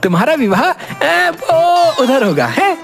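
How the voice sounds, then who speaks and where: A man voicing an eager, fawning village man in an animated folk tale, with an exaggerated theatrical manner. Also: very high voice, slow pace, gravelly, full character voice. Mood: flustered, amused. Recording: music behind the voice.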